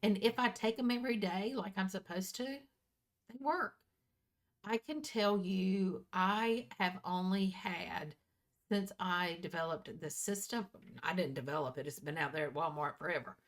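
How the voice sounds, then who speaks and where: A woman's voice: medium pitch, a little slow. A woman in her early sixties chatting casually to her viewers in a folksy, candid way, often repeating and correcting herself. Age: early 60s. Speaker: vlogger. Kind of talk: casual personal chat to viewers.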